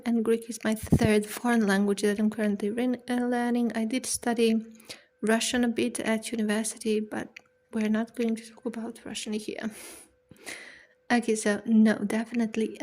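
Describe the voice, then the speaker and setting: A woman's voice: on the high side, level tone, moderate pace, darkish tone. A woman from Serbia, a language-learning channel host chatting casually to camera about her progress and often restarting words. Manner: chatty, self-deprecating, stops and restarts words.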